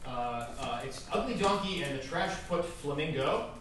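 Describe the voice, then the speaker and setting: A man's voice: on the high side, expressive, normal speed. Wry man hosting in a very echoey room. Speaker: host of live holiday play reading. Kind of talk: informal stage announcements to an audience. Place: live event room. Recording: very echoey live room, distant mic, noisy.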